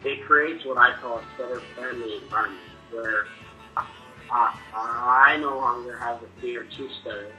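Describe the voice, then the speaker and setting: Young man with a stutter, sharp bright timbre, earnest personal testimony. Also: medium pitch, steady tone, measured pace, faint music behind, rough recording. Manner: stutters, with repeated sounds and restarts. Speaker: person sharing his experience.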